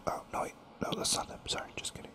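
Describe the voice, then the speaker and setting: Whispering man musing over a strategy game. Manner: rambling, musing, toys with repeated words; soft and unhurried, thinks aloud. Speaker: gaming channel host. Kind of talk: whispered ASMR gameplay commentary.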